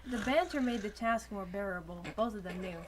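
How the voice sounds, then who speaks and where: A woman's voice: medium pitch, a little fast. A young woman reading fan fiction narration aloud in an amateur style, with casual asides to friends. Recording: clearly noisy amateur home recording with clicks.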